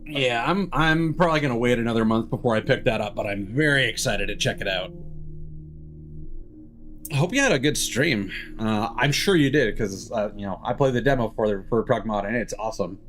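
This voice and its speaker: A man chats casually as he streams a video game. His voice sits at an average pitch, and he rambles along with the odd swear word. Music plays behind him. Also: a little fast, fairly bright tone.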